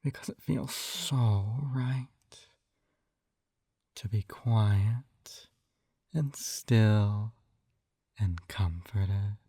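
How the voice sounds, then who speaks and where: Casting: male actor as a smugly tender hypnotist captor for ASMR audio drama; velvety, mellow, unhurried, teasing, recorded close and dry. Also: fairly low pitch, no echo, exaggerated voice. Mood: hypnotic, tender. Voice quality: soft, velvety, breathy whispers at times. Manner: slow coaxing, teasing tag questions.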